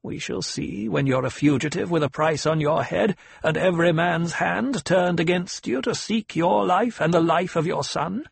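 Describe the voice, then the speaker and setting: A man voicing a cruel, demanding old woman leader in an audiobook, sneering and mocking, with a gravelly, husky edge. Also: fairly high pitch, moderate pace, hardly any pauses, no room sound, voice pushed up, heavily acted.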